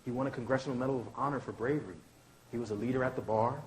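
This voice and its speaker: A man reads as an idealistic lawyer and orator in a staged drama. The voice sits at a medium pitch, and the delivery is earnest and declamatory, shaped like formal public speech. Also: steady tone, racing, lightly acted, rough recording. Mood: earnest, defensive. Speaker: professor reading a play. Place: indoor launch event venue.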